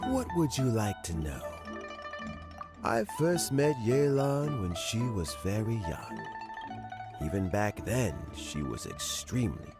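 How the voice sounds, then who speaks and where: A deep, dignified elderly official speaks game dialogue with formal, deliberate care. His low voice moves expressively up and down as he weighs each matter shrewdly, with music clearly playing behind him. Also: sing-song. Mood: measured, reflective. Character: shrewd, dignified old male city official, recovering and measured. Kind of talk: scripted video game dialogue.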